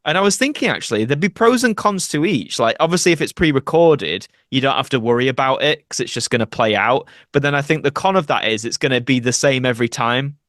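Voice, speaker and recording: A man's voice: mid-range voice, animated, fast, few pauses, fairly bright tone, no echo, studio quality. A man, an actor, chatting casually as a podcast guest and explaining his thoughts in a conversational way. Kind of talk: casual interview chat.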